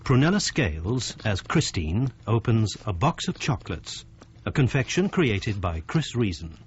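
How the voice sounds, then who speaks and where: A male radio announcer with a fairly low, animated voice, delivering a scripted programme introduction. The recording is a little muffled, with faint noise behind him. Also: unhurried.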